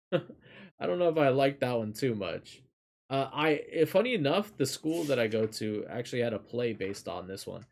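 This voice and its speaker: A man's voice: medium pitch, lively intonation, normal speed, creaky, darkish tone, slight background noise. Casual man reading stories and chatting. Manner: casual, rambling, swears lightly. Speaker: storyteller and streamer. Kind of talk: reading a fairy tale aloud, casual livestream chat.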